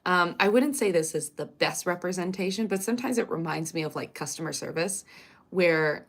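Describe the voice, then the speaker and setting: A woman from Canada, chatting casually on a live stream. Her voice is raspy and congested from a cold, and she rambles and corrects herself as she goes. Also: lowish voice, animated, moderate pace.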